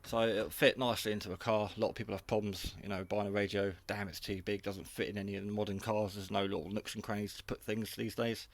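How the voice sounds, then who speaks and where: A man with a fairly low voice talks through a casual product review. He is unscripted and rambles a little, staying matter-of-fact and modest about his own know-how. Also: little pitch movement, fast, hardly any pauses. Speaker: hobby radio reviewer.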